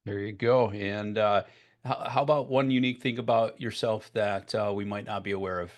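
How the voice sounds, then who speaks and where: A man hosting a vendor pitch session over a video call, talking casually and haltingly with many fillers. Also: fairly even intonation. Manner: filler-heavy, halting with many "you know"s. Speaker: webinar host.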